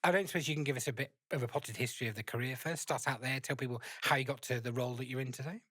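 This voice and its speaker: A man hosting a podcast, talking briskly with a bright, tense tone. He is friendly and laughs easily, and the recording is close and dry. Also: medium pitch, dry recording. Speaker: podcast host. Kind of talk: podcast interview.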